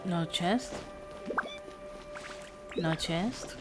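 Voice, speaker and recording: A woman's voice: lowish voice, very slow. A woman game streamer giving casual live commentary in short, muttered reactions. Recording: loud game music behind voice.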